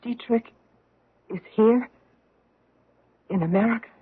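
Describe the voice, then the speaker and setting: A woman's voice: fairly high pitch, slow, slightly rough, heavily acted. An actress playing a defensive, evasive housewife hiding her past, in a 1970s radio drama. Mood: guarded. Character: guarded middle-aged American housewife hiding her past. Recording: tinny old radio broadcast.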